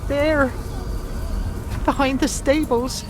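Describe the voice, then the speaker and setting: Slow, ominous superstitious townswoman. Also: high voice, steady tone, noisy background. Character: superstitious, fearful townswoman foretelling doom. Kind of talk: dramatic video game cutscene acting.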